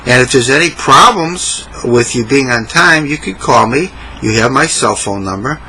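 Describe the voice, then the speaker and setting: A man teaching English in a comic monologue, speaking slowly and clearly. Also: medium pitch, lively intonation, bright, forceful tone, slight background noise, rough recording. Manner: slow, deliberate, exaggerated clarity.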